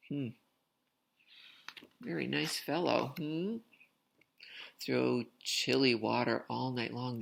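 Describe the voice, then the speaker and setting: A woman reads a children's story aloud in a low, creaky voice. Her intonation swoops expressively, and she pauses for chatty asides to young listeners.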